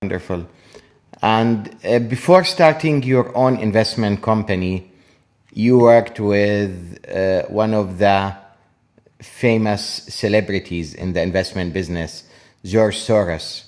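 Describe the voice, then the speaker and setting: Low-voiced man hosting a podcast. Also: a little mellow. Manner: polite, halting questions with restarts. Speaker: podcast host. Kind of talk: interview questions.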